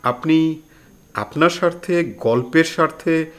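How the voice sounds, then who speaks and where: A man's voice: a little high, unhurried, lightly acted. A man playing a humble disciple who timidly questions his master in an audio drama. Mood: timid, questioning.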